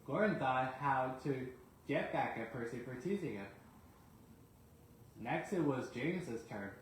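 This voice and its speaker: A man reads a children's bedtime story at a slow, measured pace. His voice sits at a middle pitch. The recording has heavy echo and faint noise. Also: expressive. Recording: very echoey room, faint noise.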